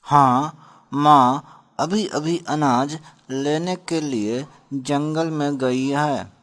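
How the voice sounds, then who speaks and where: A trusting little boy bird from a children's cartoon, acted by a man. The voice sits a bit high and moves slowly, with simple, polite, obedient phrasing. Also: fairly bright tone.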